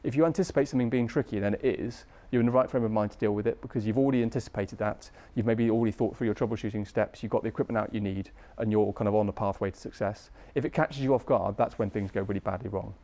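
A man's voice: on the low side, quick pace, few pauses, mellow. A British man teaching medicine in an informal lecture, speaking conversationally with colloquial asides and tag questions. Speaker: medical lecturer, anaesthesia registrar.

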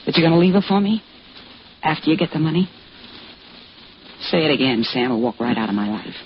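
Scheming radio-drama mistress, swooping intonation. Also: female voice, low voice, lively intonation, a little fast. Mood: hard, demanding. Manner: insistent, domineering, coolly manipulative. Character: scheming, hard-boiled mistress pressuring her lover into crime. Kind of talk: old-time radio crime drama acting. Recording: dull, noisy vintage radio recording.

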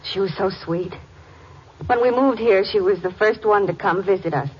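A woman playing a sweet, worried young farm wife in an old radio drama, earnest and soft-hearted. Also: mid-range voice, normal speed, natural voice. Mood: troubled, earnest. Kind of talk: 1940s-50s radio drama acting. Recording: muffled old-time radio recording, faint noise.

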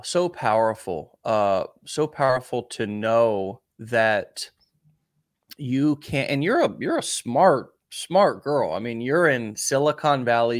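A man's voice: medium pitch, no room sound, studio quality. Man asking long coaching questions. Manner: encouraging, asks long rambling questions. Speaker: host. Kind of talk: coaching interview.

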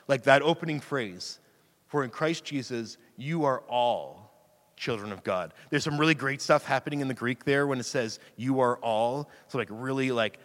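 Male preacher, casual and self-deprecating, slight rasp, medium pitch, big reverberant church sound. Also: a bit bright. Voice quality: slightly raspy from screaming into a mic. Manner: chatty, self-deprecating, laughs easily. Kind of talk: casual conversational sermon. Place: church sanctuary. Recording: long hall reverb.

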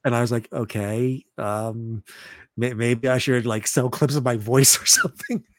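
A man in his thirties chatting casually as a podcast host, halting and often repeating words as he goes. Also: dry recording. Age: 30s. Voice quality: deep voice. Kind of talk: casual chat.

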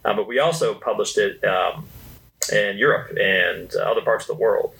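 A man's voice: fairly low pitch, normal speed, gravelly, a bit bright, poor audio. A man chatting casually as a podcast panelist, with a playful, joking manner. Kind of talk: casual chat.

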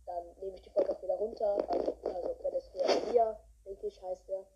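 A woman's voice: medium pitch, steady tone, brisk, dark tone, slight background noise. A woman hosting a podcast in a casual, rambling way with many fillers. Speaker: podcast host. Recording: dull lo-fi recording.

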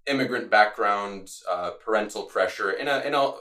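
A man's voice: fairly low pitch, normal speed, bright, forceful tone. A young man from Latvia, a native Latvian speaker, chatting casually as a guest with many fillers and restarts. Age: young adult. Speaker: student executive director. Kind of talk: casual chat.

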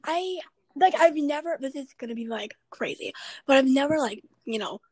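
A young woman hosting a podcast in a casual chat, with a raspy voice and a chatty, rambling way of talking. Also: high voice, animated, normal speed, darkish tone, no echo. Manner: chatty, rambling, many fillers. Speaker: podcast host.

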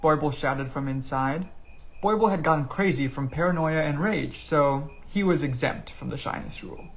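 A man's voice: on the high side, normal speed. A man narrating a scripted whimsical story as a reader.

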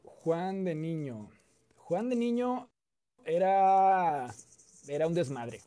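A man from Mexico with a Mexican accent, a guest telling relaxed, chatty stories with many fillers and repeated words. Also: a little high, slow pace. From Mexico (Coahuila). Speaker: guest, content creator. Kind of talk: casual storytelling chat.

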